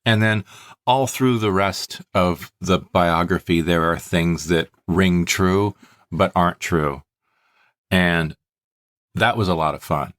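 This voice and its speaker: A man's voice: low-pitched, takes it slowly, a bit bright, dry recording, clean studio sound. A man co-hosting a podcast, chatting casually about stories. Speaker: podcast co-host.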